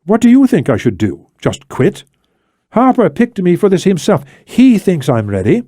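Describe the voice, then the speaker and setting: A man's voice: high voice, wildly swooping pitch, normal speed, mellow, dry recording, studio quality, voice pushed up, heavily acted. A man voicing a determined, defensive woman intelligence operative in an audiobook, speaking insistently and emphatically. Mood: frustrated. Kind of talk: audiobook character dialogue.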